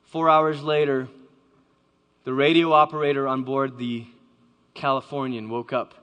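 A man's voice: mid-range voice, little pitch movement, unhurried, fairly bright tone. A man giving a dramatic storytelling talk to a live audience, acting out the voices he quotes. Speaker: speaker. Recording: long hall reverb.

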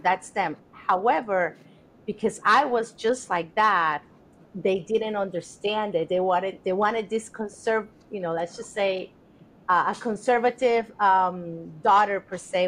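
A woman's voice: mid-range voice, unhurried, fairly bright tone, low-quality recording. Earnest Colombian-born woman telling her story. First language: Spanish. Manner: earnest, reflective, many fillers. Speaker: guest. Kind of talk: casual interview storytelling.

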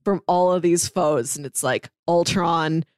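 A woman's voice: lowish voice, unhurried, darkish tone, dry recording, studio quality. A woman co-hosting a podcast in casual discussion, talking in an engaged, playful, conversational way. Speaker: podcast co-host. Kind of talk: casual comic book discussion.

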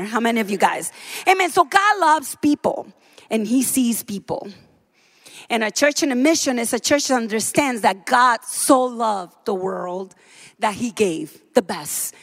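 A woman from the Dominican Republic preaching a sermon in a church, fervent and building to shouts. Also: sits high. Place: church sanctuary.

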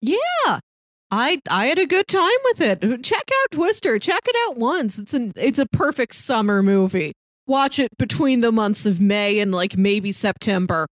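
A young woman with a fairly high, very animated voice, talking casually as a podcast co-host. She is chatty and wry, sprinkling "like" through her sentences. The sound is dry and close. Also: a little slow, dry recording. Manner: chatty, wry, peppers talk with "like". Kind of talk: casual movie podcast chat.

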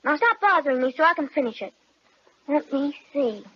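A woman's voice: high-pitched, lively intonation, brisk. A woman playing an eager, bossy little girl in an old-time radio children's serial, with chirpy, emphatic phrasing. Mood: bossy, earnest. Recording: dull old radio recording.

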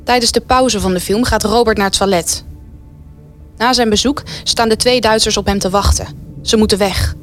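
A woman's voice: medium pitch, fairly even intonation, moderate pace, faint music behind. A woman reading scripted narration as the storyteller of a wartime radio play.

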